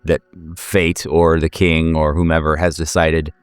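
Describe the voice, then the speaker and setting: A man speaking as game master, improvising horror narration and casual chat in a halting way, often rephrasing himself. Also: very deep voice, flat delivery, smooth, no echo, soft background music.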